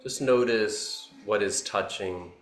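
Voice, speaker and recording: A man teaching a guided meditation, giving gentle, unhurried instructions in short phrases with long pauses between them. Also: fairly low pitch. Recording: roomy echo, faint background noise.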